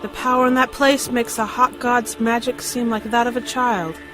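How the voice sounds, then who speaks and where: A woman's voice: a little high, level tone, normal speed, music in the background, close to an everyday voice. A woman playing a winged sorceress queen and former priestess in a fantasy audio drama, solemn and portentous. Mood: excited, certain.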